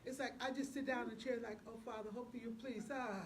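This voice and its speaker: A woman's voice: fairly high pitch, steady tone, fast talker, slight background noise. A woman speaking as an interview guest, chatting casually and personally about her own work.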